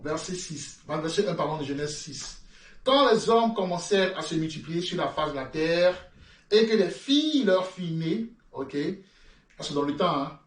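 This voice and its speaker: This is a man from France preaching a sermon in French with a London-tinged accent of his own. He reads scripture, then stumbles over repeated words before climbing into emphatic bursts. His voice sits somewhat high and comes from back in an echoey room, away from the microphone. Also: far from the mic. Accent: French with a London-influenced lilt. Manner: repeats words, stammering restarts, rising emphatic peaks. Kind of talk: sermon with Bible reading.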